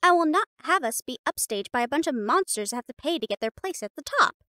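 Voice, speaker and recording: A woman playing a bossy, sharp-tongued young catgirl cheerleader captain in a scripted audio roleplay. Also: high-pitched, animated, fast talker, no room sound, clean studio sound. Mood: sassy, proud. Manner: bossy, sassy, emphatic.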